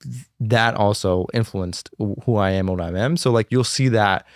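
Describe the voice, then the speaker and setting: A young American man with a low voice talks through his fashion brand as an interview guest. He speaks fast and loosely, scattering "like" and "you know" through every sentence. The recording is close and dry. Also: dry recording. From United States. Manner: fast, filler-heavy talk with many "like"s. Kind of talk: casual interview conversation.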